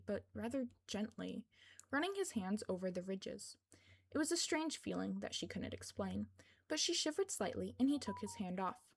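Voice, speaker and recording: Woman reading a story, slightly creaky voice. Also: mid-range voice. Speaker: fan fiction podfic reader. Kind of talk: scripted story narration.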